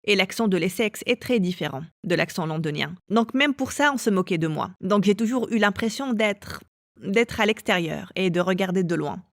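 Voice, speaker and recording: A woman telling her own story as an interviewee, in a calm and measured way. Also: mid-range voice, dry recording, studio quality. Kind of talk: personal testimony.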